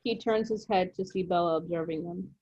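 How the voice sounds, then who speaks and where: A woman's voice: lowish voice, a little fast, darkish tone, slightly rough, no echo. Dry, slightly dull-sounding woman narrator. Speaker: table-read narrator. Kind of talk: scripted narration of stage directions.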